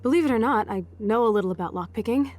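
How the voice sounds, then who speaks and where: A woman's voice: a little high, fast, darkish tone, lightly acted. A young American woman playing a rebellious, sarcastic, swaggering teenage girl in a video game. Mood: brash, determined. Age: young adult. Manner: sardonic, swaggering. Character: brash, rebellious, sarcastic teenage girl, foul-mouthed punk.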